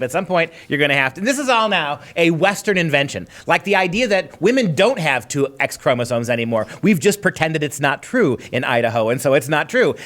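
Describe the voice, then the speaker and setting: A man's voice: a little high, fairly bright tone. A man hosting a talk show, giving sarcastic, emphatic opinionated commentary.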